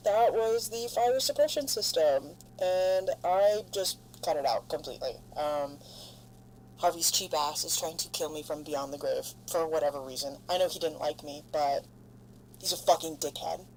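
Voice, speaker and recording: A woman acting a weary, foul-mouthed, defiant lone spaceship survivor logging a halting, sardonic monologue in an audio drama. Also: sits low, a little mellow. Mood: irritated, sardonic. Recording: clear background noise, humming ship ambience. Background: noisy hum of spaceship sound design.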